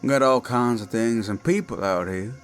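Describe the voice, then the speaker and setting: A man's voice: mid-range voice, moderate pace, faint music behind, lightly acted. Man voicing a Cajun werewolf, menacing, sly, coaxing drawl, horror audio drama, insects in the background. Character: menacing male Cajun werewolf, falsely friendly swamp stalker.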